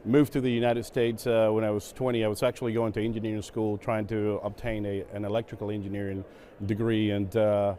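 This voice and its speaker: Mellow-voiced Venezuelan man, relaxed interviewee. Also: on the low side, brisk. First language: Spanish. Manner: relaxed, with many fillers and repeated words. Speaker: guest, operations executive. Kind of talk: conference stage interview. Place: conference stage.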